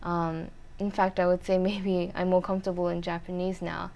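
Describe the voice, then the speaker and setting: A young woman answering interview questions in a thoughtful, measured way with frequent pauses. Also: fairly low pitch, little pitch movement, gravelly, darkish tone, slight background noise. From Australia. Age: young adult. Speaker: interview guest, university student.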